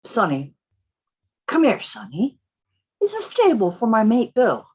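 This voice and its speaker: A woman's voice: on the high side, wildly swooping pitch, unhurried, darkish tone, husky, full character voice. A woman playing a sly, wheedling, falsely friendly male pirate sailor in a radio play. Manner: oily, wheedling, rustic sailor's grammar.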